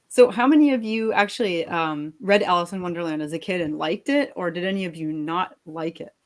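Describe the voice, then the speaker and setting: A woman's voice: lowish voice, normal speed. A woman co-hosting a live book reading, chatting casually in an encouraging, giggly way. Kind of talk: casual group chat.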